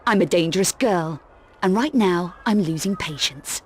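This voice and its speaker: An actress playing a tough, self-assured young female adventurer in a video game, curt, sardonic and quietly threatening. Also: lowish voice, expressive, moderate pace, breathy edge. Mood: cool, persuasive. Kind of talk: video game cutscene acting.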